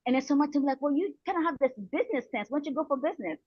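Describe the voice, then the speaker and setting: A woman's voice: high voice, very fast, no echo, muffled recording. A middle-aged woman from the Republic of the Congo giving motivational advice as an interview guest, encouraging and earnest, repeating phrases for emphasis. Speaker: guest speaker, coach and counselor.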